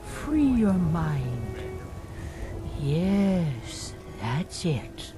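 Old fortune teller woman, fantasy game, slow n halting n eerie, music behind. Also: deep voice, big pitch swings, full character voice. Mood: hesitant, soothing. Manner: halting, portentous, drawn-out phrasing. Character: weary old fortune-telling seer woman, folksy, eerie in trance. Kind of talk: fantasy video game acting.

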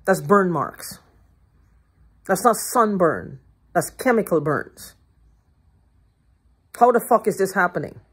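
An older woman ranting to her phone camera in a living room, heated, profane and repetitive, with a hoarse throat. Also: lowish voice, lively intonation, slow pace, long pauses. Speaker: vlogger. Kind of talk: agitated rambling monologue to camera. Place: living room at home, indoors. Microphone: phone held at arm's length.

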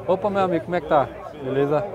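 A man's voice: a little high, rapid-fire, muffled recording. A man with a Northeastern Brazilian accent casually interviewing sellers at an outdoor livestock market, friendly and repeating answers back. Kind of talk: casual street interviews and commentary. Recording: busy crowd and animal noise.

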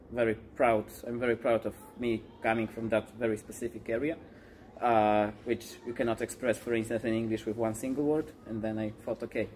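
A man's voice: medium pitch, a little mellow, a little hiss or hum. A young man from Poland, a summer school participant, answering interview questions earnestly in a large open hall. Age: young adult.

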